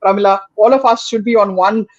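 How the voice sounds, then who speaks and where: A woman's voice: medium pitch, steady tone, brisk, no room sound. Woman host, dull lo-fi line. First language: Tamil. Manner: warm, rambling, with many restarts. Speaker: podcast host. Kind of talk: casual interview chat.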